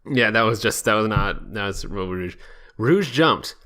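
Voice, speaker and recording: A man's voice: on the low side, animated, measured pace, bright, forceful tone. A man co-hosting a podcast, reading aloud casually and joking crudely with an amused delivery. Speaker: podcast co-host. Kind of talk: casual comedic reading with banter.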